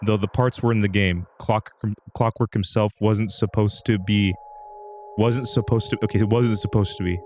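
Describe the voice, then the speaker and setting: Low-voiced man reading horror, noisy recording. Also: steady tone, normal speed, darkish tone. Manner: breaks off into amused asides. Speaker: livestreamer reading a horror story. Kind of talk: dramatic reading of amateur horror fiction.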